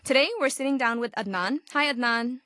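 A woman's voice: on the high side, brisk, bright, forceful tone, dry recording, clean studio sound. A woman hosting an interview, asking guiding questions in a friendly way. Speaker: host. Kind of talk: hosted interview.

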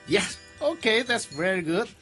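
A man's voice: high-pitched, sing-song, a little slow, over music. A man hosting a casual interview, asking quiz questions with a ready laugh. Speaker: quiz host.

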